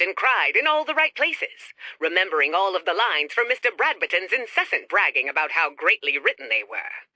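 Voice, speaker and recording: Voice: mid-range voice, very noisy, close to an everyday voice. A narrator telling a radio drama story in a dramatic, storybook manner.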